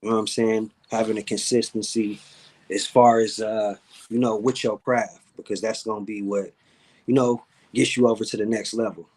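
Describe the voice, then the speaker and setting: Guest rapper guy thinking out loud, low and a bit husky. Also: flat delivery. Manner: thoughtful, pausing. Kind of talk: casual interview answer.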